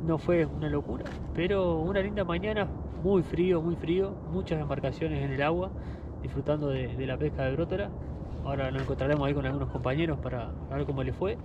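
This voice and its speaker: A man's voice: fairly high pitch, fairly quick, muffled recording. A young man, enthusiastically narrating his fishing trip from a kayak on the sea and repeating his exclamations over each catch. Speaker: fishing vlogger. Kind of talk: casual outdoor vlog commentary. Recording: loud wind and water noise. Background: sea and wind.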